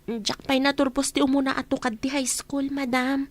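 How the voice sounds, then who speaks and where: A woman's voice: high voice, level tone, normal speed, close to an everyday voice. A woman playing a humble, earnest young housemaid in a radio drama, speaking respectfully and plainly. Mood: meek, sad. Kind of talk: Ilocano radio drama acting.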